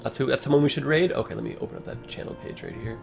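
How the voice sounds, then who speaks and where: A man chatting through his livestream in a loose, rambling way, full of ums and uhs. His voice is hoarse and worn down, with a gravelly rasp at the bottom of a middling pitch. Faint music sits under him. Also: very fast. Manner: rambling, relaxed, many fillers. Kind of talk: casual gameplay commentary.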